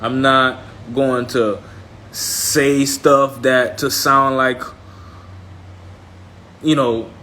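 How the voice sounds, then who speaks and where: A man's voice: mid-range voice, fairly even intonation, very slow, long pauses, pushed and bright. A man from Haiti speaking as a trading mentor on a live stream, blunt and emphatic, repeating points and checking in with listeners. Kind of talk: motivational live-stream talk.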